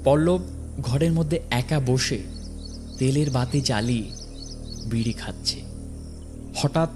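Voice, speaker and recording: A man tells a scripted horror tale as its narrator. His voice sits at a middle pitch and the delivery is dramatic. Faint music plays behind him. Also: a little mellow.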